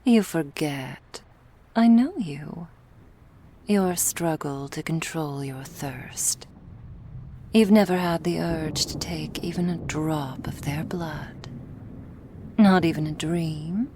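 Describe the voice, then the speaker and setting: A woman playing an imperious female vampire crime boss in an ASMR roleplay, with slow, formal, silky, threatening speech. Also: low-pitched, background noise. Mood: silky, hungry.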